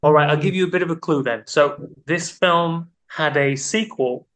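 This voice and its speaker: A man's voice: fairly high pitch, moderate pace, fairly bright tone. A man with a slightly raised voice runs a quiz in casual podcast banter. He teases and jokes like a playful quizmaster. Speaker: podcast co-host running a quiz. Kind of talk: casual comedic chat.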